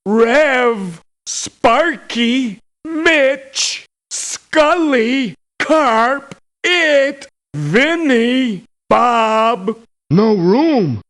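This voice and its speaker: An actress playing a childlike, touchy mercenary in a video game, speaking in simple, halting phrases. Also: female voice, on the high side, animated. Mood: plain, curt. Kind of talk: video game character voice lines.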